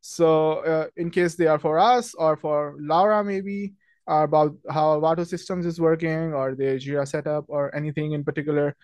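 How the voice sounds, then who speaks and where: Young man from Pakistan, friendly webinar host, slightly higher voice, dry close sound, many fillers. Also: steady tone, normal speed, a little mellow, no echo. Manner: friendly, chatty, many ums and restarts. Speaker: webinar host, product marketing manager. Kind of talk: casual webinar presentation.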